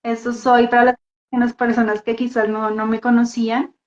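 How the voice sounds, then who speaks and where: A woman with a Bogotá Colombian accent introduces herself casually and reflectively, halting and repeating words as she goes. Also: on the high side, level tone. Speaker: guest dance therapist. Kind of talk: casual personal introduction in a live talk. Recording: echoey room, dull muffled audio.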